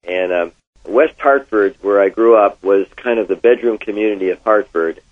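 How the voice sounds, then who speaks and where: Older American man, husky, on phone-like audio. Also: lowish voice, normal speed. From Connecticut, United States. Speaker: interviewee, former oil executive. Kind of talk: reminiscing in an interview. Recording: thin, phone-like band-limited sound.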